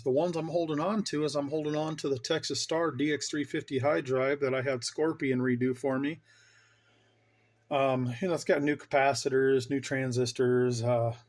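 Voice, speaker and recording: A middle-aged man with a medium-pitched voice talks through his gear as a hobbyist. His delivery is informal and rambling, an enthusiast's casual show-and-tell for a vlog. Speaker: hobbyist vlogger showing his radio gear.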